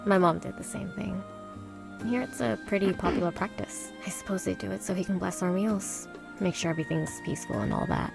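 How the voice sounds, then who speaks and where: Guarded teenage girl, sardonic, blunt, kind underneath, fry-edged voice, visual novel game. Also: medium pitch, fairly quick, music in the background. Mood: wry, casual.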